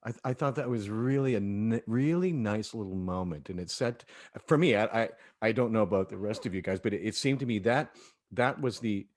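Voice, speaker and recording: Low-voiced man hosting a cast chat. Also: a little fast. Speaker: cast member and director hosting a cast discussion. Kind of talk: casual panel chat about an audio drama.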